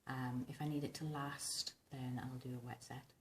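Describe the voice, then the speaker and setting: Very low, hoarse, smoky-voiced woman vlogger. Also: level tone, moderate pace, echoey room, a little hiss or hum. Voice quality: husky, rumbling, smoky voice from tonsillitis. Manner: rambling, informal, chatty. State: recovering from tonsillitis, hoarse. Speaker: vintage hairstyling vlogger. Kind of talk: casual tutorial chat to camera. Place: small domestic bedroom, indoors.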